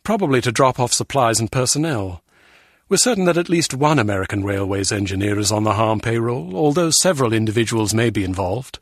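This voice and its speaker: A critical, dour intelligence official in a video game. He delivers briefings in clipped, formal phrases. The voice is medium in pitch and rough-edged, with a dry studio sound. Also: animated, brisk, dry recording. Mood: businesslike. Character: dour, critical male British intelligence bureaucrat.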